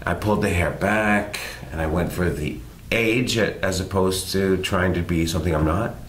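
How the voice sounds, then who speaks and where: Very deep-voiced relaxed middle-aged man. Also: moderate pace, a little hiss or hum. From Canada. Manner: relaxed, self-deprecating, rambling. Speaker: guest actor. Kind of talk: casual interview chat.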